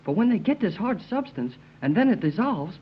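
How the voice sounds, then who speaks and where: An actor playing a plucky youngster with slangy, colloquial speech and a husky voice in an old-time radio serial. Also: mid-range voice, very animated, moderate pace, a little hiss or hum, close to an everyday voice. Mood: excited, plucky. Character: spirited, slangy, plucky youngster named Jerry. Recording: muffled vintage radio recording.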